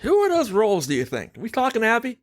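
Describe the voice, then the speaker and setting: A man's voice: high voice, huge pitch swings, fast, no echo. A man hosting a casual podcast, giving his opinions emphatically and swearing casually. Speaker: podcast host.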